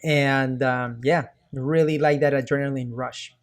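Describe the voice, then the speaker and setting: A man from Peru co-hosting a podcast, excited and enthusiastic. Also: mid-range voice, unhurried. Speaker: podcast co-host. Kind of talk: casual podcast chat.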